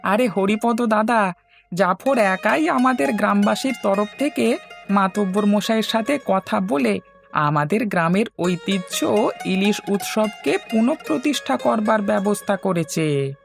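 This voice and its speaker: A man's voice: sits high, expressive, unhurried, gravelly, soft background music, voice pushed up, exaggerated voice. A man voicing a cheerful, chatty village companion in a cartoon, with an exclamatory, familiar manner. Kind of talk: Bengali cartoon voice acting.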